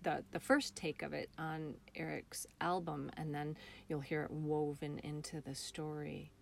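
A woman's voice: low-pitched, little pitch movement, a little slow, vocal fry, a little hiss or hum. A woman talking casually and expressively as an interview guest, a playwright and director describing things with enthusiasm. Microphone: computer call, also recorded on her phone.

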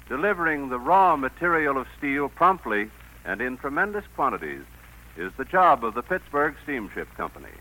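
A man with an American accent reads a sponsor's message on vintage radio. His mid-pitched voice is bright and forceful, with formal, measured delivery. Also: rough recording. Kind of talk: 1940s scripted radio advertisement. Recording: 1940s radio broadcast recording.

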